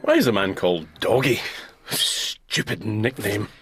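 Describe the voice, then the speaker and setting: An actor in a radio drama playing a reserved young Scottish country doctor, curt and easily indignant, with a husky voice. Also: male voice, on the low side, lively intonation, a little slow, a crowd in the background. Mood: prickly, indignant.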